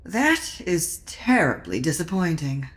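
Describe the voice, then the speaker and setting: A woman's voice: fairly low pitch, big pitch swings. A woman playing a coolly observant, sardonic manor guest in a comedy audio drama. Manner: sardonic, deliberate.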